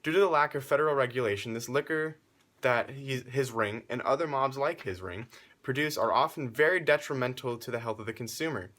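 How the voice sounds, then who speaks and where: Male news presenter, medium pitch, formal scripted period report, speaks straight to the viewer. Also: brisk, fairly bright tone.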